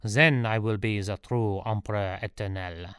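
Guy doing a pompous power-hungry emperor villain, lowish voice, storybook, very dry sound. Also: steady tone, moderate pace, a bit bright, clear, resonant tone, dry recording, natural voice. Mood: grand, gloating. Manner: pompous, self-satisfied.

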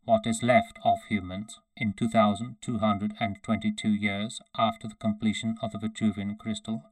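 A man's voice: fairly low pitch, little pitch movement, measured pace, warm tone, slight background noise, close to an everyday voice, rough recording. A man voicing an obedient, emotionless cyborg centurion in a story, with a metallic, synthesized, toneless, clipped delivery. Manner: toneless, clipped, matter-of-fact.

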